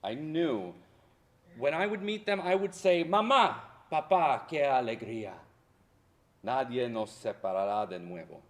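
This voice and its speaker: A man playing a haunted, defiant history professor in a theatrical monologue, speaking deliberately and with dramatic emphasis. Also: medium pitch, lively intonation, lightly acted. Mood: hopeful, excited. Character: haunted Mexican-born history professor orphaned by deportation, wounded, defiant. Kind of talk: theatrical monologue in a staged reading.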